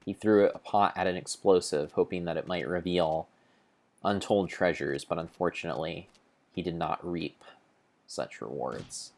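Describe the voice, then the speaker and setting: A man giving a grave, theatrical, storybook-style narration of video game play, in deliberate phrases with pauses. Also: low voice. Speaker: streamer narrating his gameplay.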